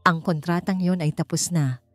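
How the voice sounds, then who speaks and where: A woman's voice: lowish voice, fairly even intonation, moderate pace, natural voice. A woman narrator plays an arrogant businessman husband in a storybook romance. The cruel, scornful speech is declamatory and emphatic, with music underneath. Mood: scornful, declaring. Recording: music behind the voice.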